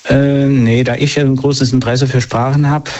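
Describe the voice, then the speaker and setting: A man's voice: medium pitch, flat delivery, moderate pace, darkish tone. A man answering interview questions casually, hesitant, with many fillers. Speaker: interview guest. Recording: slightly dull sound.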